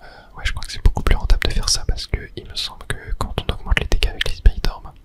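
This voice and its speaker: A host whispering casual ASMR commentary over a video game, hesitantly thinking aloud. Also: fast.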